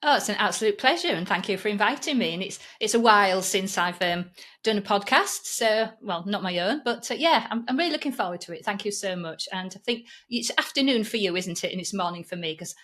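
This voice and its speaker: A woman's voice: medium pitch, expressive, fairly quick, fairly bright tone. A woman in her fifties with a Yorkshire accent, a podcast guest telling her career story in a chatty, self-deprecating way with frequent restarts. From England. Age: 50s. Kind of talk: conversational interview storytelling.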